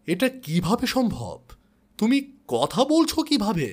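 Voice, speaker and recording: Man as a tender cartoon woodcutter dad, highish voice, lively swooping pitch, coaxing. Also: a little slow, slightly rough, exaggerated voice. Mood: astonished. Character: kindly woodcutter father, tender and curious. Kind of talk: animated moral story character acting.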